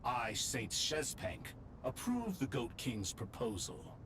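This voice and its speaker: An actor playing a wry, mocking saint on a royal council in a video game, lofty and sardonic. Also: male voice, medium pitch, huge pitch swings, takes it slowly, noisy background. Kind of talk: theatrical video game acting. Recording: clear game sound behind voice.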